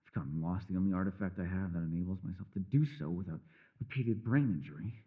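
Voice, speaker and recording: A man's voice: sits low, fast, slightly rough, narrow, tinny audio. A man playing a mysterious elf mage in a fantasy ASMR roleplay, a patient mentor who muses wryly in formal, old-fashioned phrasing. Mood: brooding, wry. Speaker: voice actor.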